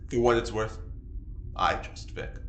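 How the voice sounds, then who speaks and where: A man's voice: on the low side, flat delivery, unhurried, fairly bright tone. An actor playing a prim, earnest, easily affronted man with a formal, theatrically wounded manner in an audio drama. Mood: measured. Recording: roomy, faint noise.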